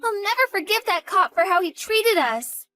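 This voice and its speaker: A woman's voice: very high voice, animated, normal speed, pushed and bright, faint music behind, exaggerated voice. A woman voicing a devoted college-age daughter who talks earnestly and grows panicked in a dramatized story. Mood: bitter.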